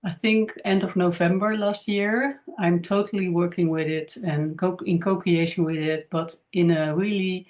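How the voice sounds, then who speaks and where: A woman with a Dutch accent, a native Dutch speaker, talking to camera in a casual spiritual monologue, hesitant and searching for words. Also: fairly low pitch. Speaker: content creator.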